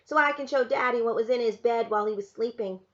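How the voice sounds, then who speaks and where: A woman's voice: fairly high pitch, quick pace, dull audio, lightly acted. A woman reading aloud, voicing an eager, chatty young boy with a raised, insistent voice.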